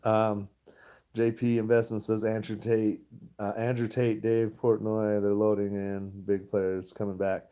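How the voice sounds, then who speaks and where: A man's voice: lowish voice, flat delivery, measured pace, warm tone, clear, resonant tone. A man chatting casually to his viewers, rambling and laughing often. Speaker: stock vlogger. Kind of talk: casual livestream chat.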